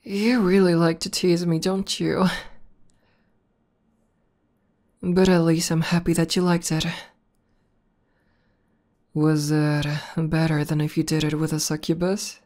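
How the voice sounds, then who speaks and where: A bashful tomboy demoness warrior, played by a woman, speaks to her partner in an intimate ASMR roleplay. Her voice is notably low and her delivery slow. She sounds soft, hesitant and deeply affectionate. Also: level tone. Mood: tender, bashful. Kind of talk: ASMR roleplay, intimate one-sided conversation.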